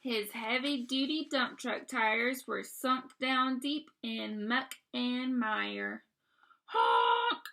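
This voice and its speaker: A woman reading a picture book aloud to young children, expressively, pausing to ask simple questions and explain. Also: fairly high pitch, steady tone, gravelly, sharp tone. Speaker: read-aloud storyteller.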